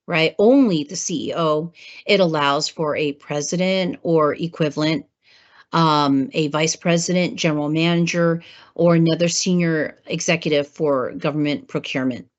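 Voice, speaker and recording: A woman with a hoarse voice from a cold, presenting a government training webinar, clearing her throat and using many fillers. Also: low voice, moderate pace. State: hoarse, getting over a cold. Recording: muffled lo-fi webinar audio.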